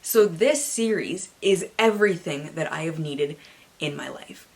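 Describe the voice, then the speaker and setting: A woman presenting a book haul vlog, excitable and theatrical, with dramatic emphasis as she reads. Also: sits low, measured pace, vocal fry. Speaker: book reviewer and vlogger.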